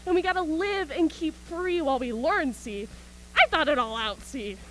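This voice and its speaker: High, bright-voiced manic soldier played by a woman. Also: animated, moderate pace, a little hiss or hum, poor audio. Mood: defiant, manic. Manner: colloquial, ranting, punctuated by scornful laughs. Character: embittered, manic militia soldier, survivor of a Martian invasion. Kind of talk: stage radio play acting. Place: school auxiliary gym.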